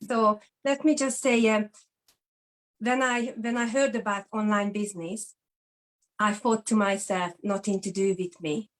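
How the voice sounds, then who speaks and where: A woman's voice: medium pitch, fairly even intonation, measured pace. Earnest Hungarian-accented woman in her forties. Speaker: guest. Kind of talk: personal testimony in an interview.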